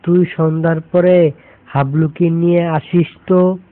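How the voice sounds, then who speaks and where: A man voicing a stern but kindly village schoolteacher in a cartoon, questioning in a measured way with a Bangladeshi accent. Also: fairly high pitch, flat delivery, heavy background noise, lightly acted. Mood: admonishing. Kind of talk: cartoon dialogue. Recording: muffled lo-fi audio, music behind.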